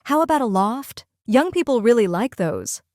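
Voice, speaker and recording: A woman playing a brisk, chatty real estate agent. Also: very animated, a little mellow, no echo, clean studio sound. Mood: upbeat, persuasive. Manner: salesy, upbeat pitching. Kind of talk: audio drama acting.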